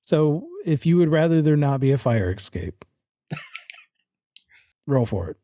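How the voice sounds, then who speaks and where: A man's voice: a little high, sing-song, a little slow, warm tone, no room sound, studio quality. A man narrating as game master of a tabletop horror role-playing game, in a casual, teasing way.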